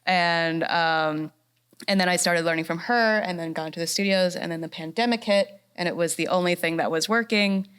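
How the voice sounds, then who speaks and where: A woman's voice: fairly low pitch, moderate pace, fairly bright tone, smooth. A woman from North Carolina speaking as a voice actress on a festival panel, bubbly and upbeat. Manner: bubbly and upbeat, laughs often. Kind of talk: casual panel talk. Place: fine arts center stage.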